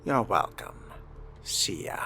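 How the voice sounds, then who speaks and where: A man voicing a suave, haughty, sly fledgling vampire for a video game role-play, arch, measured and theatrical. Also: music in the background, natural voice. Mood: smug, cool.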